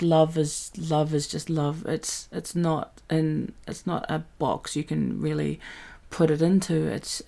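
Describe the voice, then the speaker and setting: Woman w/ lowish creaky voice, casual chat to her listeners, kinda halting, thinking out loud. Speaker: audio drama creator and voice performer.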